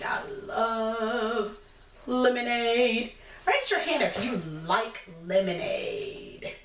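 American woman, preschool teacher, picture-book read-aloud, very animated pitch, unhurried pace, encouraging questions, echoey room. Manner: encouraging, instructional, asking simple questions. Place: small indoor room against a plain decorated wall. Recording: roomy echo, distant mic, clear background noise.

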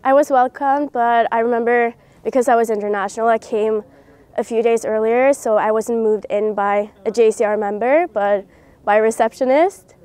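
A woman's voice: fairly high pitch, steady tone, moderate pace, a little mellow, clear, resonant tone. A woman in her twenties from Norway, a student, chatting in an interview outdoors and laughing easily. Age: 20s. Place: outdoor park.

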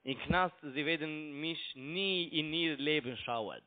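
A man's voice: on the high side, takes it slowly. A man with a foreign accent, a non-native speaker arguing in broken sentences and insistently repeating his phrases over the telephone. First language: not German. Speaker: phone broker and scam caller. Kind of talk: argumentative phone conversation. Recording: tinny narrowband phone line.

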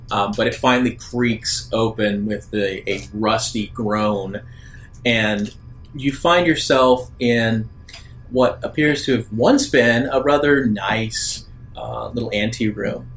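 A man working as a game master, improvising narration for a tabletop game in a halting, deliberate way. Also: fairly bright tone. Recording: rumbly background noise.

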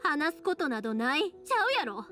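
High-voiced, spirited Kansai girl. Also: very animated, moderate pace, bright, forceful tone, soft background music, full character voice. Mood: earnest. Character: spirited young fireworks-maker girl, frank and stubborn. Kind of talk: Japanese anime game acting.

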